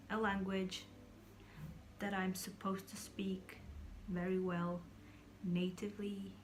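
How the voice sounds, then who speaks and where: A woman's voice: mid-range voice, slight background noise. A young woman from Kazakhstan talking to her viewers in a casual vlog, rambling, restarting sentences and sometimes dropping to a whisper. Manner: rambling, searching for words, many fillers and restarts; drops into whispers at times. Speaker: vlogger. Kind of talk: casual talk to camera.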